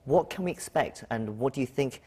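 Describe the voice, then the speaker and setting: A man's voice: mid-range voice, animated, fairly quick, darkish tone, slightly rough. A man working as a news anchor, putting interview questions to a guest in a TV studio.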